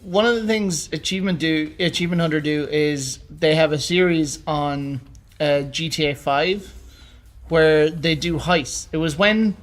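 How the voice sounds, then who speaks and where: A man's voice: fairly high pitch, measured pace. A man co-hosting a podcast, chatting casually and telling stories in an informal, enthusiastic way. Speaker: podcast co-host. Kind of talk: casual chat about games.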